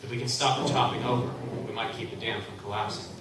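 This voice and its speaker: A man's voice: lowish voice, fairly even intonation, fast, lightly acted. A man playing a fiery, hot-tempered tinker. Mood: urgent. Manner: bursts into loud angry shouts. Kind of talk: live radio play acting. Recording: echoey hall from far off, background noise.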